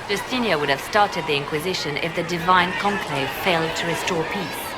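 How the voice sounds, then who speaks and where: An actress playing a guarded, resolute spymaster in a fantasy video game, speaking in a measured, serious way. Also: female voice, on the low side, very noisy, close to an everyday voice. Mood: idealistic, brooding. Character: guarded, resolute female spymaster of a holy order. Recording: loud crowd and outdoor ambience behind.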